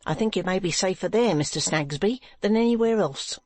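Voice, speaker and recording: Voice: medium pitch, lively intonation, a little fast, darkish tone, dry recording, pitched above the performer's own voice, lightly acted. A stern, icy wife, as a reader puts her on. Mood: cold severe. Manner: curt and rigid. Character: stern, severe wife, suspicious and cold.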